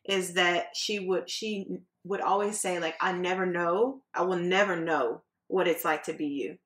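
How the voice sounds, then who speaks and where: A woman's voice: lowish voice, fairly even intonation, unhurried, fairly bright tone, echoey room. A woman co-hosting a casual podcast, telling stories in a frank, blunt way. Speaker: podcast co-host.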